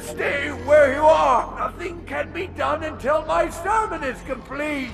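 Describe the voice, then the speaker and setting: An actor playing an old, zealous alien high priest in a game, pompous and preaching. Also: male voice, a little slow, gravelly, over music, heavily acted. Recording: game score behind.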